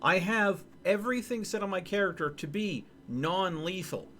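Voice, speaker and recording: A man streaming a game, chatting casually with viewers in an animated, joking way. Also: sits high, normal speed, slight background noise. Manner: animated, joking, talks to chat. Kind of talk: casual gameplay commentary.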